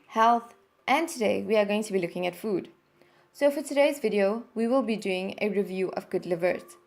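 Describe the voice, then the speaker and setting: A woman hosting a channel, talking through a product review in a steady explanatory way with some fillers. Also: a little high. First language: English. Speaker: channel host reviewing a service. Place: home in Norway.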